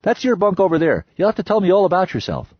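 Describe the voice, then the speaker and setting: An eager, chatty historian is keen to hear everything about you, and his voice sits high and lively, as a male narrator puts him on. the tone is a touch husky and the recording sounds dull. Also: fast, no echo, heavily acted. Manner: eager, inquisitive.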